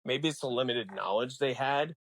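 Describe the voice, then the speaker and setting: A man co-hosting a podcast, explaining a film casually in a halting, conversational way. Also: mid-range voice, dry recording. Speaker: podcast co-host.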